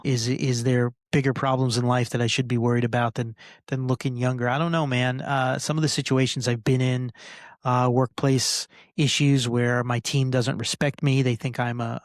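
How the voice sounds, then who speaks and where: A man in his forties talking casually as a podcast host, venting with halting, repetitive phrasing and some swearing. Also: mid-range voice, no room sound, studio quality. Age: 40s. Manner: frustrated, sweary. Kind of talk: casual personal rant to listeners.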